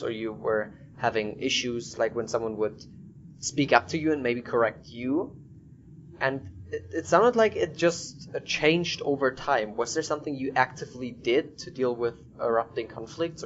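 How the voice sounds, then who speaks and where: A man from Germany with a German accent, a native German speaker hosting a casual podcast interview. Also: mid-range voice. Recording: dull sound with faint noise.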